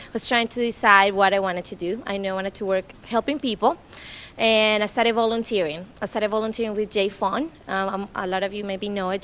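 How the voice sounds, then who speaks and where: A woman in her thirties from Colombia with a Colombian Spanish accent, a native Spanish speaker, giving an informal public talk and chatting through her story. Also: mid-range voice. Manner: chatty, storytelling, animated retellings. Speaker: nonprofit staff speaker.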